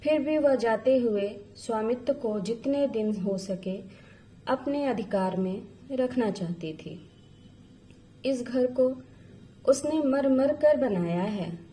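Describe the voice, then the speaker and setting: A woman's voice: on the high side, warm tone, a little hiss or hum, poor audio. A woman storyteller reading a literary story as scripted narration.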